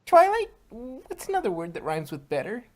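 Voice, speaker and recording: A woman voicing a hyper, cheerful young pony mare with a squeaky, bouncy, singsong delivery in a fan fiction audio drama. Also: huge pitch swings, moderate pace.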